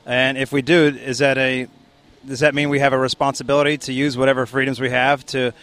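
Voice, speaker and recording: A man conducts a street interview outdoors at a protest. He asks long, winding, probing questions at a mid-range pitch, with a few hesitations. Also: flat delivery, a little fast, a bit bright.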